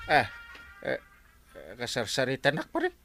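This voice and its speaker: A man's voice: medium pitch, wildly swooping pitch, unhurried, over music. An actor playing a gloomy, sighing, penniless village man in a Filipino comedy drama, with an Ilocano accent. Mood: gloomy, irritated. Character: gloomy, sighing broke village man, brooding over money. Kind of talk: broadcast comedy drama acting.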